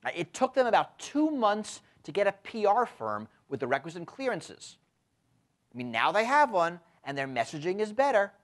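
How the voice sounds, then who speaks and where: A man in his fifties giving a university lecture, speaking emphatically and often tagging his points with a quick question, recorded on a lapel mic in a lecture room. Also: sits high. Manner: frequent tag questions, lively emphatic delivery. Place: lecture room with window blinds.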